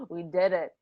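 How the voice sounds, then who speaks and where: A woman's voice: fairly low pitch, no echo, muffled recording. A woman playing a domineering, exasperated, foul-mouthed mob boss in a crime radio play, with a brash and emphatic delivery. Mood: quiet glee.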